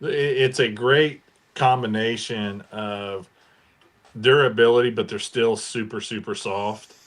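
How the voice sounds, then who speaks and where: Low-pitched man chatting casually. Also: fairly even intonation, unhurried. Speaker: fishing podcast panelist. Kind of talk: casual product recommendation in conversation.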